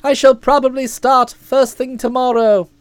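A woman voicing a hearty, bossy middle-aged aunt who talks in a loud, breezy, emphatic way. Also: high voice, flat delivery, moderate pace, clear, resonant tone, dry recording, close to an everyday voice. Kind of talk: audiobook character voice.

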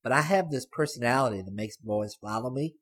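Sabbath, a cocky, artless teenage girl, as a male narrator puts her on, husky and raised well above his own low register. She talks bluntly, pushing provocative remarks without shame in a storybook reading. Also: fairly quick, close to an everyday voice. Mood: brazen. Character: brash, teasing Southern teenage girl. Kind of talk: audiobook character voice.

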